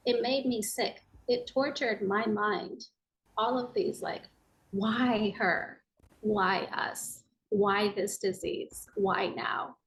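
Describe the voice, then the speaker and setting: A woman raised in Japan with an American accent, telling her personal story as a guest in an interview, earnest and reflective with soft hesitations. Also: medium pitch, a little hiss or hum, low-quality recording.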